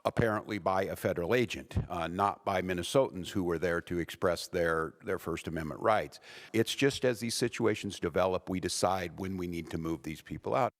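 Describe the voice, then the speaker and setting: Low-voiced man answering press questions. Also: brisk. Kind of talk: spoken interview answer.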